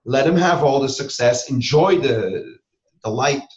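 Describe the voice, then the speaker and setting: A man's voice: mid-range voice, unhurried. A man from Switzerland, talking conversationally as a trainer being interviewed. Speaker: interview guest, hypnosis trainer and school owner. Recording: roomy echo, slightly dull sound.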